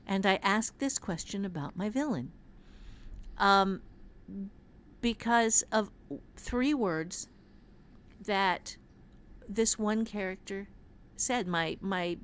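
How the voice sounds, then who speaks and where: A woman speaks as an author in a casual podcast chat. She tells things reflectively and haltingly, searching for the next phrase as she goes, with a mid-pitched voice. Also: clear, resonant tone. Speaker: author and writing teacher.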